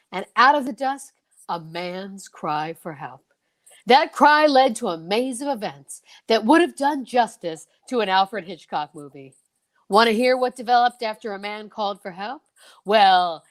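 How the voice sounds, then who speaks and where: A woman playing a dramatic, teasing 1950s radio mystery announcer with drawn-out theatrical phrasing, in an amateur reading. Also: on the high side, close to an everyday voice. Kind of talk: old time radio announcing, read by amateurs.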